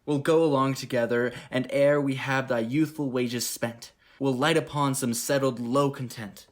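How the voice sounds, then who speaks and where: Man playing an earnest young gentleman, mid-pitched. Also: steady tone, moderate pace, natural voice. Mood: grateful, resolved. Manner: earnest, declamatory. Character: young gentleman master, proud and warm-hearted. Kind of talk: Shakespearean radio play acting.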